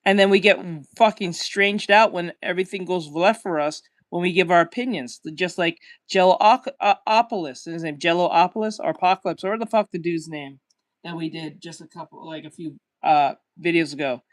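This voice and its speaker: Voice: medium pitch, moderate pace. Give me a blunt, offhand reaction host with a mid-range voice. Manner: offhand, swearing, trailing off quietly. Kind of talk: casual reaction commentary.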